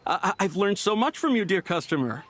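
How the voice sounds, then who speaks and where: A man's voice: sits high, sing-song, fairly quick, fairly bright tone, heavily acted. A man voicing an ingratiating tea shop vendor in a video game, polite and nervous, with a stammering delivery. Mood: flustered.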